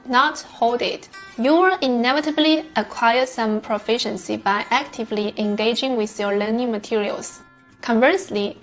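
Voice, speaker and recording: Female, measured scripted read, slightly raised pitch, light music bed. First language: Chinese. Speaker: English learner sharing study tips. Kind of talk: scripted narration.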